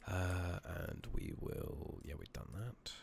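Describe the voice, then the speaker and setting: Deep, creaky, soft-spoken man doing gaming ASMR. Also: very animated, slow pace. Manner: casual, rambling, swears mildly. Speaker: game streamer playing an online fantasy game. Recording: noisy makeshift microphone, clear background noise.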